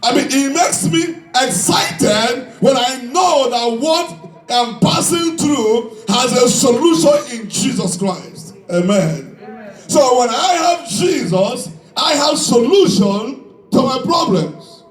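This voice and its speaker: A man's voice: very high-pitched, expressive, takes it slowly. A man preaching a fervent sermon, declaiming and repeating phrases emphatically as he calls for responses from his congregation. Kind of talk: fervent Pentecostal-style sermon with call and response. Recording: echoey hall PA, distant mic, background music.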